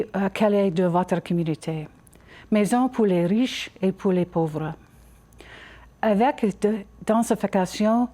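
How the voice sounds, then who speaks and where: Mellow woman haltingly reading a campaign speech. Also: medium pitch. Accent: English Canadian. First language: English. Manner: halting, non-native reading with small stumbles. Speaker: city council candidate. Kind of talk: scripted campaign speech read aloud.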